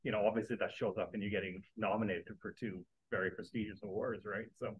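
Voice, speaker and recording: A man in a book club audience giving the author an earnest, rambling compliment, halting and restarting as he speaks. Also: fairly low pitch, fairly even intonation, breathy edge, highs cut off. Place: library meeting room.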